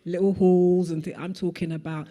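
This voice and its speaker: Woman, guest artist, earnest panel answer, warm low tone, hall reverb. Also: level tone, normal speed. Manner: earnest, with many "like" fillers. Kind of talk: answering a question at a panel talk. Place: event hall.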